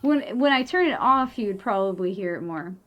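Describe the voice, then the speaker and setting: A woman's voice: medium pitch, expressive, normal speed. A young adult woman streaming live, chatting casually and rambling with lots of filler words and the odd swear.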